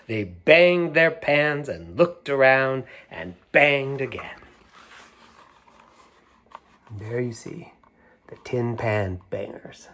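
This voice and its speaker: A middle-aged man reading a picture book aloud to children, gentle and unhurried, stopping to show the pictures. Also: mid-range voice. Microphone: camera mic, moving toward it to show pages.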